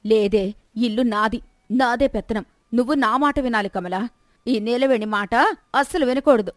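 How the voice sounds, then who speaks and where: A woman plays a proud, bossy older mother-in-law from a storybook tale. Her voice is on the high side and recorded dry. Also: moderate pace, dry recording, close to an everyday voice.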